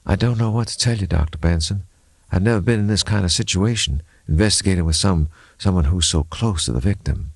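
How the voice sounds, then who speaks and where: Troubled female officer read by a man, gentle, halting, slightly lifted pitch, close dry audiobook sound. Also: creaky, husky, no room sound, full character voice. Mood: reluctant, grave. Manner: careful, hesitant, groping for words. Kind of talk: audiobook character acting.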